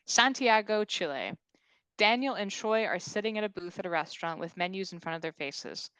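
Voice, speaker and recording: A woman's voice: mid-range voice, animated, normal speed, a bit bright, clear, resonant tone, no echo, low-quality recording. A woman narrating scripted stage directions at a comedy table read.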